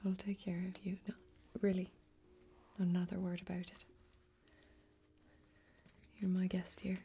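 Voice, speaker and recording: A young woman playing a kindly, protective fantasy warrior in a soft-spoken ASMR role-play, gentle, whispery, folksy and reassuring, close to the microphone. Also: on the low side, completely flat delivery, unhurried. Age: young adult.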